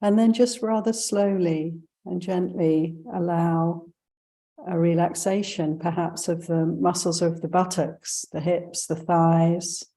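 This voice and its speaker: A woman leading a guided meditation as a teacher, giving gentle, unhurried instructions with pauses. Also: on the low side, fairly even intonation.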